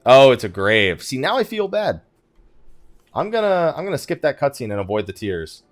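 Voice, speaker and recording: A man's voice: mid-range voice, sing-song, normal speed. A man giving casual let's play commentary, thinking aloud in a wry, conversational way. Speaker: gaming YouTuber, host of the let's play.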